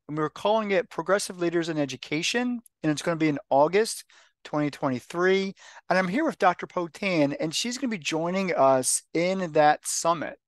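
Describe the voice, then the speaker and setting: A man's voice: on the high side, no echo. A man in his forties hosting a podcast over a video call on a headset, upbeat with stumbling restarts. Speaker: podcast host. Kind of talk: casual interview talk. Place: home room, video call.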